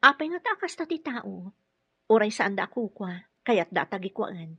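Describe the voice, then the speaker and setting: An earnest single mother and nurse in a Filipino radio drama. She speaks politely and a little hesitantly in a breathy, medium voice with a Filipino accent. The audio is dry and muffled. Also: mid-range voice, sing-song, brisk, pushed and bright, no room sound, exaggerated voice. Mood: curious. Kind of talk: Ilocano radio drama acting.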